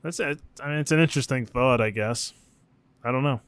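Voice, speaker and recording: A man's voice: mid-range voice, fairly quick, a bit bright, smooth, dry recording. A man co-hosting a podcast in an informal, musing, chatty way with the odd casual swear word. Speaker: podcast co-host.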